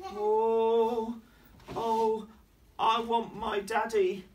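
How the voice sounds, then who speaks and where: A man doing a whiny, high-pitched little girl. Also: little pitch movement, very slow, distant mic, slight background noise, full character voice. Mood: sulky.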